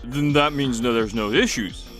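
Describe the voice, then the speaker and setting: A man voicing a measured, businesslike company boss in a dubbed comic drama. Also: medium pitch, a bit bright, soft background music, natural voice. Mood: considering. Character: measured, businesslike male company boss, middle-aged or older.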